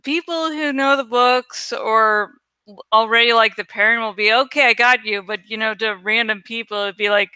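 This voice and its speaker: A woman rambles casually as she works, thinking out loud with plenty of swearing. Her voice is bright and smooth, recorded close and dry. She sometimes stalls to hunt for the right word. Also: a little high, normal speed, no room sound. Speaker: online creator and host. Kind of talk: casual rambling chat while working on a graphic.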